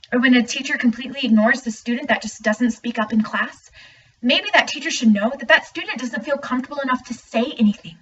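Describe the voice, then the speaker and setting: A young woman acts out an earnest, emphatic student in a dramatic monologue, in a fairly high voice. The recording is a little muffled and distant. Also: sharp tone. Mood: indignant. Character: earnest student speaking out about teachers. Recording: muffled, distant mic, faint noise.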